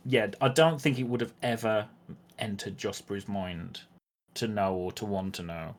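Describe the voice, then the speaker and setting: Low-voiced man improvising as game master. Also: slight background noise. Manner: halting, informal, searching for words. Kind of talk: casual, improvised tabletop game narration.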